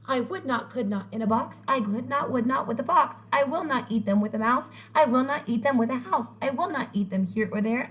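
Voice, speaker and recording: A woman voicing a fussy, stubborn grouch in an animated, emphatic children's read-aloud. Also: on the high side, muffled recording, natural voice. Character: stubborn, fussy, exasperated grouch refusing food.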